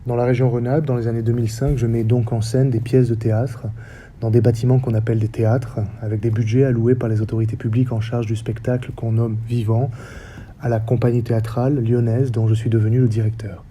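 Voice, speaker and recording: A man in his forties from the French Basque Country, with a faint Basque accent, giving a reflective public talk as a stage director in measured, short phrases. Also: mid-range voice, level tone, dark tone, a little hiss or hum. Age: 40s. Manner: measured, list-like delivery with short paused phrases. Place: theatre stage or hall.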